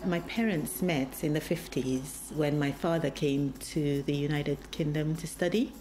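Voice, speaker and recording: A woman from Nigeria, calmly telling her family's story in an interview. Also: sits low, unhurried. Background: music.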